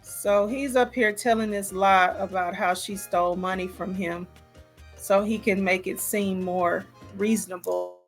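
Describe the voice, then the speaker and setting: A woman's voice: mid-range voice, steady tone, measured pace. Female reaction host: casual, emphatic, openly disapproving commentary that hammers its points, over background music. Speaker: host reacting to a recorded call.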